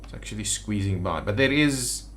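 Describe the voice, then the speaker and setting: Low-voiced man muttering through gameplay. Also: animated, normal speed, a bit bright, slight background noise. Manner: thinking aloud, muttering to himself. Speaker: gaming video host. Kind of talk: casual gameplay commentary. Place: indoors at a computer.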